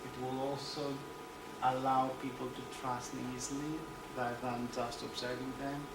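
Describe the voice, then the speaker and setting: Greek guy presenting research, flat voice, hesitant, far off in an echoey room with loud noise. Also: mid-range voice, normal speed. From Greece. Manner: hesitant, many ums and restarts. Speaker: seminar speaker. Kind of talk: academic seminar presentation. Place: seminar room.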